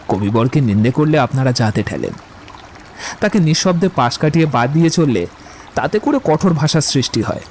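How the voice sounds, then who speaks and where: An actor playing a witty, poetry-loving young romantic suitor in an audio drama, with eloquent, theatrical delivery. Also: male voice, medium pitch, darkish tone, slight background noise, natural voice. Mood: playful, persuasive. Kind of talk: Bengali audio drama acting.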